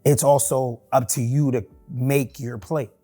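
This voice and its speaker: A young man with a heavy New York accent talks through his view in a relaxed, conversational way. He keeps checking in with the others as he goes. Faint music sits behind him. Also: mid-range voice, a little slow. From Canarsie, Brooklyn, New York. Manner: conversational, frequent "right?" check-ins. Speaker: panelist. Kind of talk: casual discussion.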